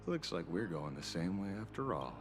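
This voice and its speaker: A man's voice: deep voice, sing-song, moderate pace. An actor playing a breezy, teasing, sly male companion in a video game.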